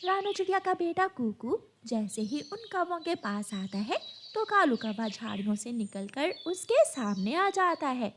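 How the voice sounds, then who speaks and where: A woman's voice: high voice, sing-song, measured pace, fairly bright tone, slight background noise. A woman telling a scripted children's story as its narrator.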